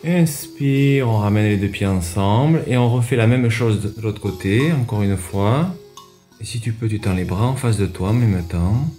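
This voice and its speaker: A man leads a yoga session in a low, very soft-toned and mellow voice. He gives measured instructions one step at a time, leaving pauses between them. Faint music plays underneath. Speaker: yoga teacher and radio show host. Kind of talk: guided yoga class instruction.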